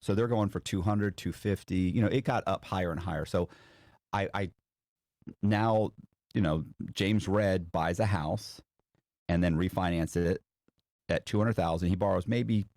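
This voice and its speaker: A man with a fairly low voice tells a story in a casual, conversational way as an interview guest. His speech is slangy and he acts out reactions as he goes. The sound is dry and close. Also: normal speed, darkish tone, no room sound. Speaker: interview guest recounting past fraud.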